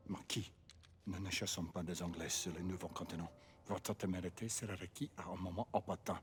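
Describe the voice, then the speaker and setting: Game role: senior knight commander male actor, deep voice, clipped fast delivery, authoritative and formal, light background noise. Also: fairly even intonation, natural voice. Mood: firm. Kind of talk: video game cutscene acting.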